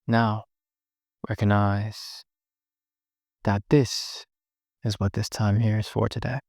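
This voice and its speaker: A man leading a guided meditation in short, gently instructive phrases with long pauses between them. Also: lowish voice, level tone, vocal fry, no echo, clean studio sound.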